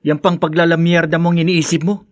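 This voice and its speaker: A high-pitched young Filipino man, a protective and conscientious brother, as played by an actor. He reproaches earnestly in radio drama style, recorded dry and close. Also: level tone, brisk, no echo, lightly acted. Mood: scolding.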